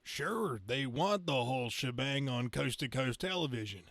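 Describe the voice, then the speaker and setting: A man's voice: medium pitch, expressive, normal speed, a bit bright, dry recording. A man playing a big, indignant country trucker with a folksy Southern US drawl in a comic-book reading. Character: big angry country good ol' boy trucker.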